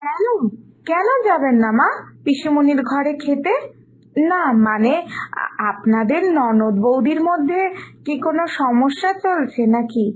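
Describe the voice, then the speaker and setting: A high-voiced young daughter-in-law from a cartoon family story, acted by a woman. She is dutiful and considerate, with a polite, slightly hesitant way of talking. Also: expressive, a little slow, rough recording.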